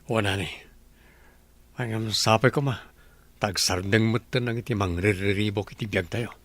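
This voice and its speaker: A Filipino man acting in a radio drama as a gruff but deferential man, his voice gravelly and husky. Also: fairly low pitch, moderate pace, a bit bright, no room sound. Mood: measured, grateful. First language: Ilocano.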